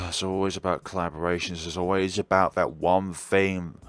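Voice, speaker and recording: Low-voiced man commenting bluntly. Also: flat delivery, normal speed, fairly bright tone, faint music behind. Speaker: gaming YouTuber. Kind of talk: reading and commenting on a visual novel.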